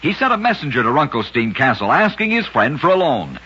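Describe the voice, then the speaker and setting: A man narrating strange true facts in a scripted old-time radio style, with a brisk, dramatic storytelling cadence. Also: mid-range voice, big pitch swings, sharp tone, breathy edge, highs cut off.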